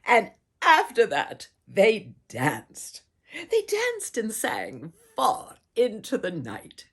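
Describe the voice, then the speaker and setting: A woman performing a children's storybook in a theatrical, dramatic way, with hushed asides and doting warmth toward her listeners. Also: mid-range voice, wildly swooping pitch, drawn-out words, husky. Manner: addresses listeners with doting endearments. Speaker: storybook reader and host.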